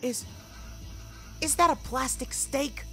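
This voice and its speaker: A man's voice: very high-pitched, slow, a bit bright, soft background music, voice pushed up, heavily acted. A man voicing a panicky, sarcastic protagonist who speaks in urgent asides in a fiction podcast. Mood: urgent, incredulous.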